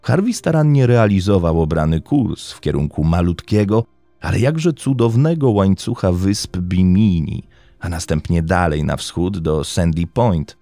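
Deep-voiced man narrating true crime. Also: dark tone, no echo. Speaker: podcast host and narrator. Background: background music.

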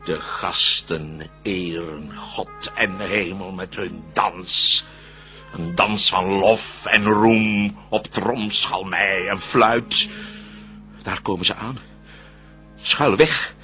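A scheming devil boss in a radio play, theatrical verse, slow n sharp, bit of music behind. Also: male voice, sits low, heavily acted. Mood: watchful. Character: scheming male devil leader, proud, cautious, vengeful. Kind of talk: radio drama verse acting.

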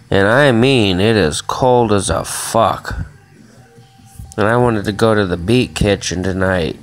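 Slow, low-voiced rambling man. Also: a bit bright, soft background music. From Chicago area. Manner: rambling, swears often, many fillers. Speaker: vlogger. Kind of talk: casual rambling vlog. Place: small indoor room at home. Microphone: phone camera held in hand.

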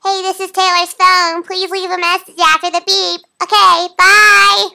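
A teenage young woman with a squeaky helium-altered voice, chatting casually and giddily in a homemade vlog. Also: little pitch movement, a little slow, gravelly, pushed and bright. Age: teens. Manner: giggly, giddy, playful. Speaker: vlogger. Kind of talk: casual excited vlog chat. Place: small bedroom, indoors. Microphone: camera a short distance away. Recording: faint noise, home camera audio.

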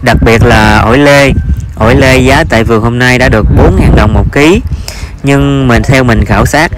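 A man's voice: medium pitch, normal speed, very noisy. A man with a Southern Vietnamese accent narrates an informal vlog as a farmer, with hesitant, repetitive phrasing.

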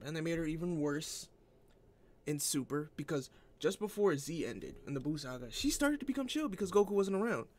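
A man giving casual opinion commentary as an online anime fan, in an argumentative, exasperated manner. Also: a little high.

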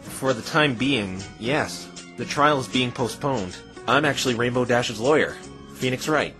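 A man playing an earnest, flustered young defense lawyer in an animated fan dub, hesitant and exasperated. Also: medium pitch, unhurried, soft background music, natural voice. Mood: dejected. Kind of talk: fan dub visual novel acting.

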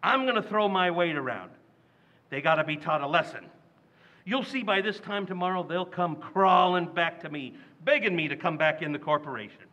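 A man's voice: on the high side, moderate pace. A blustering stubborn family man. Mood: indignant. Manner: loud, indignant, whiny. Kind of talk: old-time radio drama acting. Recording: dull lo-fi recording.

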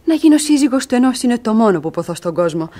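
High-voiced, ardent young heroine. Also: female voice, sing-song, warm tone. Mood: ardent declaration. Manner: theatrical, emphatic, ardent. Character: spirited, cunning young woman in love, classic farce heroine. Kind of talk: Greek radio theatre comedy acting.

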